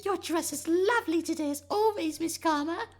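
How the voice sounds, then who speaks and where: Bubbly, gushing market girl, extremely high and squeaky, music underneath. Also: normal speed. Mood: adoring. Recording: music behind the voice.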